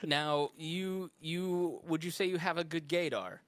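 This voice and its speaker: A man's voice: on the high side, steady tone, measured pace, fairly bright tone, no room sound. A man hosting a casual podcast from a studio, teasing his guests and laughing often as he asks questions. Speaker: podcast host.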